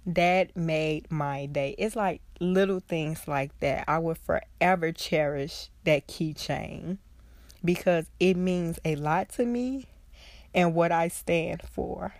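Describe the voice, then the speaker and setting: A young woman hosts her podcast alone, her nose stuffy and her voice congested from a cold. She speaks slowly and earnestly in a fairly low voice. The sound is dry and close. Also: a little mellow, no room sound. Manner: earnest, heartfelt, slow and reflective. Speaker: podcast host, social worker. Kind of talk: casual solo podcast talk.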